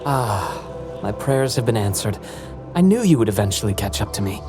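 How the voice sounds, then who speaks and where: A man's voice: fairly low pitch, sing-song, normal speed. A man playing a courteous, eloquent young wanderer in a video game, serene and grateful, with formal, ornate phrasing. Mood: pleased, grateful. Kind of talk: scripted video game acting. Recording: game music behind the voice.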